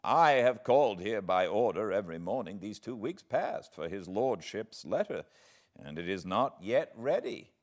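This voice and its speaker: A man voicing an exasperated, wry messenger from a classic book, with emphatic, drawn-out delivery. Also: lowish voice, sing-song.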